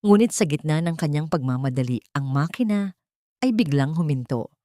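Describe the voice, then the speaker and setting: A woman's voice: sits low, animated, moderate pace, warm tone, dry recording. A woman with a Filipino accent reads a scripted dramatic story as its narrator.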